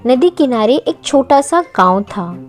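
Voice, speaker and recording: A woman narrates a moral story for children in a fairly high voice. Her delivery is unhurried and swings widely in pitch. Music plays behind her. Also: expressive.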